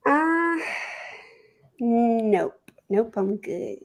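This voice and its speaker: Female auditionee, higher pitched, very creaky, casual and polite. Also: sing-song, very slow, slight background noise. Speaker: auditioning voice performer.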